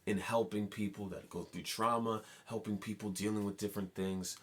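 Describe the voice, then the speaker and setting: A male podcast host doing announcer-style sponsor reads with a drawn-out delivery. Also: on the low side, steady tone.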